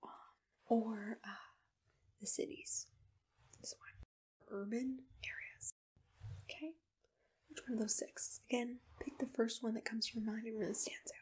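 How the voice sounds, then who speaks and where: A woman softly whispering in a gentle, reassuring, patient way, asking questions as a matchmaker in an ASMR roleplay, close to the microphone. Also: a little high, a little slow, long pauses. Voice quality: soft breathy whisper. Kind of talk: ASMR personal-attention roleplay, unscripted interview questions.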